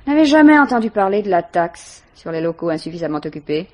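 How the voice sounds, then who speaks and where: An actress playing a guarded, disdainful young student, curt and defensive, in a 1950s French radio mystery. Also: female voice, lowish voice, big pitch swings, fast talker, highs cut off.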